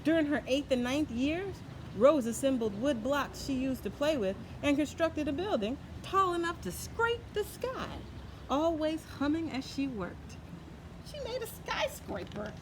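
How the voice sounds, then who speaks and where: A woman reading a children's tall tale aloud in an expressive, folksy way, adding her own asides. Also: sits high, unhurried, slight background noise, rough recording. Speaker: storyteller. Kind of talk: children's story read-aloud.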